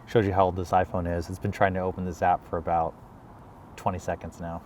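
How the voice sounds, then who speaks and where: Male vlog host, lowish voice, casual chatty delivery, some noise behind him. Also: level tone, fast talker, darkish tone. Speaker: video creator and host.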